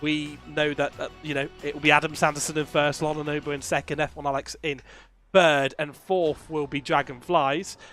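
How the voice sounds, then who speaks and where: A man commentating a sim race, chatty and quick to laugh, his voice worn from a cold. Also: fairly high pitch, flat delivery, a bit bright, faint music behind.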